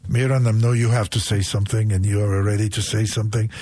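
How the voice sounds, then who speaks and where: A man hosting a radio talk show, halting often with fillers as he moderates in a studio. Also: fairly low pitch, little pitch movement, dark tone, breathy edge, no echo.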